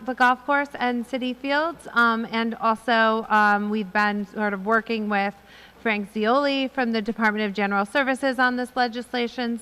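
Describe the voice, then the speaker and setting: A woman's voice: a little high, bright, forceful tone, smooth, big-room sound. A woman councilmember briefly introducing legislation in a filler-laden way. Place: council chamber.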